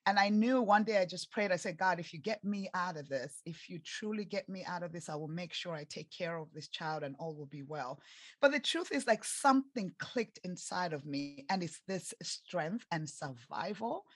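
A woman's voice: on the low side, expressive, normal speed, no room sound. A woman in her forties with an East African accent, earnestly telling her life story as a podcast guest. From Tanzania and Zambia. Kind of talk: interview storytelling.

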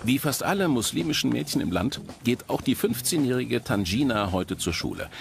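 A man's voice: medium pitch, sing-song, normal speed, creaky, loud music behind the voice. A man reading scripted documentary narration.

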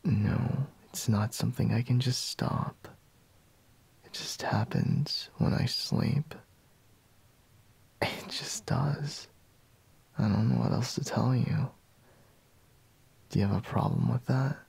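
Man acting as a slow, gravelly, sleepy boyfriend. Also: mid-range voice, sing-song, darkish tone, full character voice. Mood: teasing, mildly exasperated. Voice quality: breathy, husky, gravelly, half-whispered. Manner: drowsy, drawling, murmuring with sighs. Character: sleepy, grumbling, teasing young boyfriend woken in bed. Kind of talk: ASMR roleplay, intimate. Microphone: close microphone, dry.

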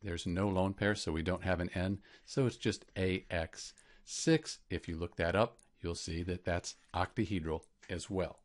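A man teaching chemistry in scripted educational narration, explaining clearly and step by step. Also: low-pitched, steady tone, a little slow.

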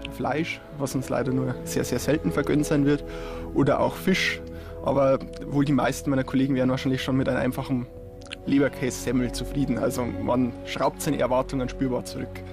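A man's voice: medium pitch, flat delivery, fairly quick, creaky. A young man, one of the participants, giving informal remarks in an interview. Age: young adult. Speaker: student experiment participant. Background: music.

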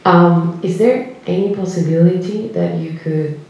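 Non-native woman asking from audience, halting, soft-pitched, echoey hall. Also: lo-fi sound. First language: not English. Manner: apologetic, halting, fillers. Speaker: audience member asking a question. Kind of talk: hesitant audience question. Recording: echoey hall, distant mic.

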